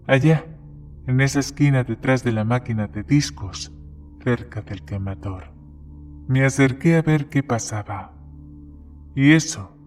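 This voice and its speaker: A man plays a plain-spoken bartender in an audio horror story. His voice sits at a middling pitch as he recounts grim events in a measured storytelling way, with faint music underneath. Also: darkish tone, lightly acted. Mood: grim, suspenseful. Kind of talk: dramatized short-story reading. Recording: music behind the voice.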